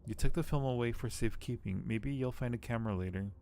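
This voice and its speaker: A man streaming a game, reading the narration aloud and commenting casually in a low-key way as he thinks aloud. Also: quick pace, mellow, a little hiss or hum. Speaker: live streamer playing a game.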